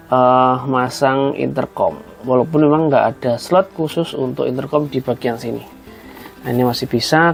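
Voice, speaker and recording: A man with a gravelly, creaky voice hosts a casual tutorial over background music. His pitch sits in the middle range. He talks conversationally through each hands-on step, often addressing viewers as friends. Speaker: host of a tutorial video.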